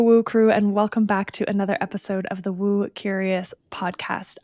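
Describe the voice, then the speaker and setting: Woman hosting a podcast, bit of vocal fry, dry sound, upbeat and affirming. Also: medium pitch, a little mellow, no room sound. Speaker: podcast host. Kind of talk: podcast interview.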